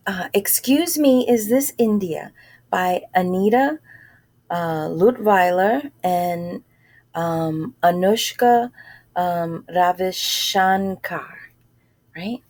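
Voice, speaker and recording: A woman reads a story aloud for children at a slow pace. She is informal and halting, speaking in short phrases with pauses and addressing the listeners directly. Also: fairly low pitch, a little mellow.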